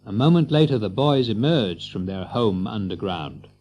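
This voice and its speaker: A man narrating a children's story with an expressive, theatrical storyteller's delivery. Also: lowish voice, normal speed.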